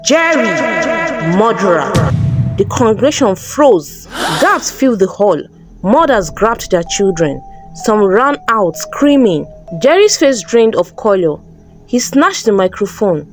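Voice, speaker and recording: A woman's voice: mid-range voice, big pitch swings, measured pace. A woman storyteller giving a scripted, dramatic narration of a folktale. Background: background music.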